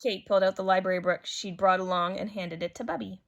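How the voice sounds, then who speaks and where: A woman reading a children's picture book aloud in an expressive, animated way, as a storyteller. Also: mid-range voice, fast talker, highs cut off. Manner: animated, acts out character dialogue. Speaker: storybook reader.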